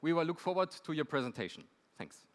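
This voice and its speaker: A man's voice: a little high, very animated, fairly quick. Male panel moderator in an echoey hall. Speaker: panel moderator, university lecturer. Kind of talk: stage introduction to a panel. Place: large conference stage.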